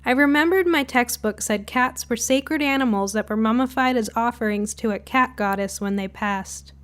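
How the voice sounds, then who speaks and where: A woman's voice: on the high side, normal speed. A woman reading a scripted first-person spooky short story as its narrator.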